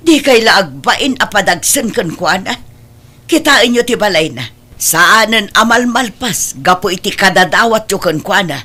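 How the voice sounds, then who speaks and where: A woman playing a caring but firm mother of grown children in an Ilocano family radio drama, speaking earnestly and gently admonishing. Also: mid-range voice, expressive, measured pace.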